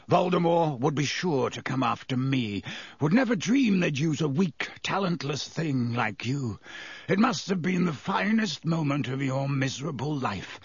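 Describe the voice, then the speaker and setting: A man's voice: mid-range voice, no room sound, exaggerated voice. A man voicing a bitter, brooding escaped prisoner in an audiobook, with a growling, venomous delivery. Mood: venomous scorn. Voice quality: growling, gravelly. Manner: venomous, hissing, deliberate. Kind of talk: audiobook character voice.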